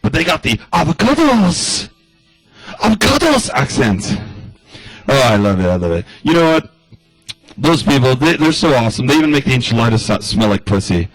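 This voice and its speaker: A man's voice: mid-range voice, sing-song, normal speed, no room sound, soft background music, rough recording. A man doing a rambling stand-up comedy monologue in the style of a radio address, loose and chatty, full of asides.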